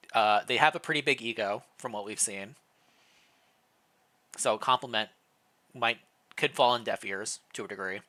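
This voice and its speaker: Male streamer, bright forceful voice at medium pitch. Playful, casual host who reads story text aloud and muses over it. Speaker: streamer, host reading fan fiction. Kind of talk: casual livestream chat with dramatic read-aloud narration.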